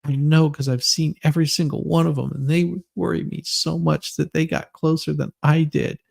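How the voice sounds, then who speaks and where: A man's voice: on the high side, level tone, normal speed, creaky, a little mellow. A man hosting an online dramatic reading, commenting with sarcastic side remarks and a readiness to break into laughter. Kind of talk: mocking dramatic reading with asides.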